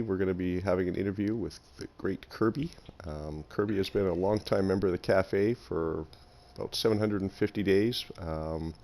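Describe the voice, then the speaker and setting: A man hosting a casual interview, effusive and quick to giggle, with many ums. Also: sits low, a little mellow. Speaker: podcast host.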